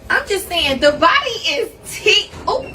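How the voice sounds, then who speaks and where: A woman's voice: very high-pitched, big pitch swings, unhurried, noisy background. A woman talking in a brash, taunting, boastful way in a played clip. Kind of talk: boastful casual chat. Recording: clip with loud music behind. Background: music.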